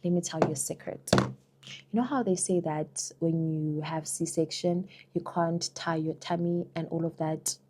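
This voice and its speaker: A woman's voice: low voice, steady tone, a little slow, very noisy. A young South African woman answering viewer questions in a casual, chatty vlog, often saying "girl" and "you know". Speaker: vlogger.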